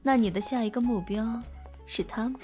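A woman's voice: on the high side, normal speed, mellow, soft background music, close to an everyday voice. An actress playing a flirtatious, worldly woman restaurateur in an audio drama, with a playful, knowing delivery. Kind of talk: Mandarin audio drama acting.

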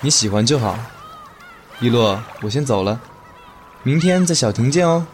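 A man playing a gentle, trusting young merman in an audio drama. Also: mid-range voice, lively intonation, moderate pace, slight background noise, close to an everyday voice. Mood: gentle, playful.

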